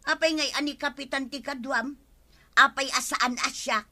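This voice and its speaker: An actress playing an exasperated farmer's wife in an Ilocano radio comedy drama, with an Ilocano accent. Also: female voice, high-pitched, steady tone, moderate pace, sharp tone, no echo. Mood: nagging.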